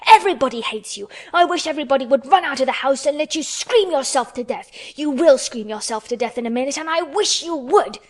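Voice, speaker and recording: A woman's voice: fairly high pitch, moderate pace, close to an everyday voice. Woman voicing a furious, bossy little girl. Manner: shouting, contradicting, commanding. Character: fierce, furious young girl with a savage temper.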